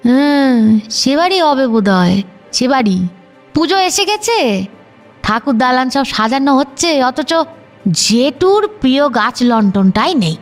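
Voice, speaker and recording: A woman's voice: high voice, exaggerated voice. A woman playing an elderly village grandmother in an audio drama, chatty and chiding, full of rhetorical questions. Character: scolding, reminiscing elderly grandmother of a village household. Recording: music behind the voice.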